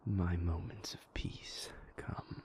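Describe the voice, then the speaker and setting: Man playing a whispering, very low, brooding vampire. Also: fairly even intonation, a little mellow. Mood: weary, tender. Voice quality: breathy, whispery. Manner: slow, formal, measured phrasing. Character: moody, brooding, tender male vampire lover. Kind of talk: intimate whispered audio roleplay.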